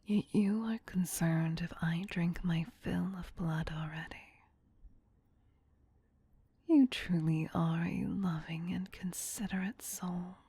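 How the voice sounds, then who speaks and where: A woman voicing a tender, possessive vampire queen in a soft, breathy, soothing ASMR whisper. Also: on the low side, heavily acted. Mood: gently affectionate. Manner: slow, doting, soothing.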